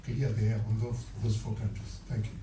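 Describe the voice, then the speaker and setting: A man's voice: lowish voice, flat delivery, fairly quick, dark tone. A man with a Ugandan accent, a journalist putting formal, wordy questions at a press conference. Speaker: radio journalist asking questions. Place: large indoor press conference room. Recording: muffled, echoey room, distant, faint noise.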